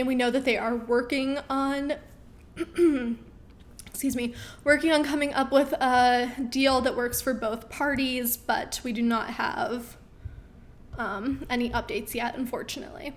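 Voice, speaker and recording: A woman's voice: high-pitched, fairly even intonation, measured pace. A woman in her thirties hosting a live question-and-answer stream, reading viewer comments in a chatty, upbeat way with frequent ums, her voice getting worn. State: losing her voice.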